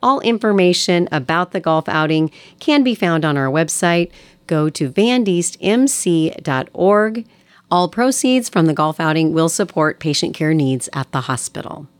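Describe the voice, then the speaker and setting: An American woman with a slightly low voice hosts a community radio show, recorded close and dry. She delivers announcements in an upbeat, welcoming way, like a practiced local presenter. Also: animated, unhurried, dry recording. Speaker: radio host. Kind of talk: hospital radio program announcements and interview.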